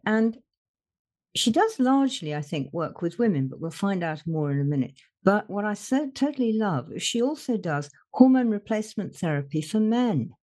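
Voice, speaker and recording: A woman hosts a podcast and introduces her guest with effusive enthusiasm. Her pitch moves a lot, rising and falling as she speaks. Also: big pitch swings, moderate pace, darkish tone. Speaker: podcast host. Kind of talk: interview introduction.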